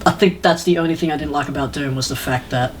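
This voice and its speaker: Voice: mid-range voice, fast, slight background noise. A podcast host chatting casually and opinionatedly about films, rambling along and acting out imagined lines. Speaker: podcast host reviewing video game films. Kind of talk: casual opinionated panel chat.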